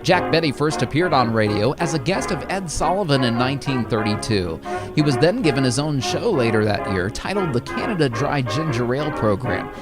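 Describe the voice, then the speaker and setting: A man reads a scripted radio-history introduction in an even, promotional manner. His voice moves with a lively rise and fall at a middling pitch. Loud music plays behind him. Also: fairly quick. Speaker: host of a radio-history channel. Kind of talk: scripted narration.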